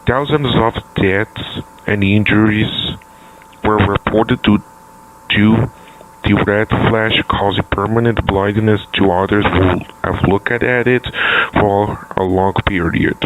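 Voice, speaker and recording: A low male voice, a man acting as a solemn official in a disaster drama. He delivers a formal, measured public address over faint noise. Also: steady tone, poor audio.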